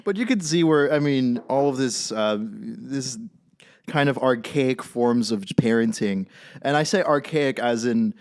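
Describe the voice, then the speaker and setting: A man's voice: medium pitch, expressive, unhurried, darkish tone, no room sound. Laid-back Californian man, dry sound. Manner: laid-back, jokey, many ums. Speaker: podcast co-host, tech lead. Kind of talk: casual podcast chat.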